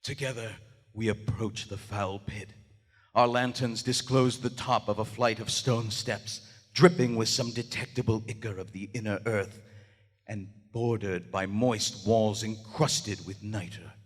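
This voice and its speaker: An actor playing a nervous, loyal gentleman who narrates a horror tale in formal, florid style for a live radio play. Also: on the low side, steady tone, measured pace. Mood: haunted, solemn. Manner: formal, florid, dramatic delivery. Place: on stage in a large theater. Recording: live theater hall reverb, music behind. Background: music.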